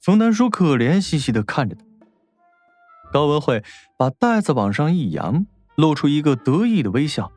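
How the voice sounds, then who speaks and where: A male narrator reads a scripted story expressively. His voice sits a bit high and moves with lots of intonation, over faint background music. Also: sing-song, moderate pace, darkish tone, no echo.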